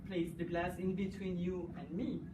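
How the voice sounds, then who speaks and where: Woman with a French accent, lowish voice, hesitant with fillers, recorded far off in an echoey cafe with background noise. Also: level tone, moderate pace. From France. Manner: hesitant, many fillers, warm laughter. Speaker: guest artist. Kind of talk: casual interview. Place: cafe gallery. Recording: echoey room, distant mic, background noise.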